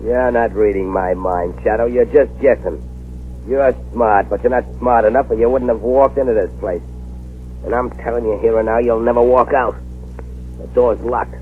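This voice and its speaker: An actor playing a ruthless arsonist gang boss in an old radio drama, barking threats and orders. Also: male voice, mid-range voice, steady tone, moderate pace, phone-quality sound, close to an everyday voice. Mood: menacing. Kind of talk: 1940s radio acting. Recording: scratchy old radio broadcast, hiss.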